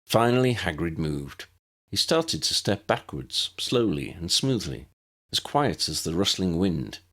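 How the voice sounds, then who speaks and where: Husky low-voiced male storyteller. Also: big pitch swings, unhurried, a bit bright. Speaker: audiobook narrator. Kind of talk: scripted story narration.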